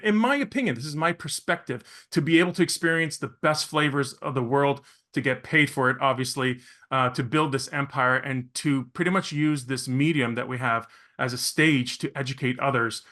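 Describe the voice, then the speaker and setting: A man's voice: mid-range voice, normal speed, sharp tone. A middle-aged man from Venezuela hosting a podcast in a polished, effusive, showman-like way. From Caracas, Venezuela. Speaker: podcast host. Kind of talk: polished scripted introduction, then interviewing.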